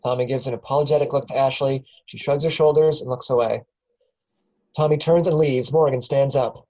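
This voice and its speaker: Man, mellow tone, mid pitch, scripted narration, stage directions at a table read. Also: fairly even intonation, fairly quick, rough recording.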